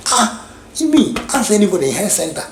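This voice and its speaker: A man's voice: sits high, big pitch swings, fairly quick, gravelly. Rambling Nigerian man in echoey room. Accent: Nigerian English. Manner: rambling, many restarts and repeated phrases. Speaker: vlogger. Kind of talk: casual reminiscing monologue.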